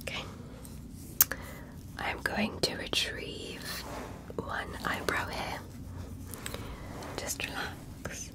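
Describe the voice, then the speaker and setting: A woman voicing a gentle alien medical examiner in an ASMR roleplay, soothing, reassuring and politely clinical. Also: drawn-out words, long pauses, poor audio. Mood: soothing, calm.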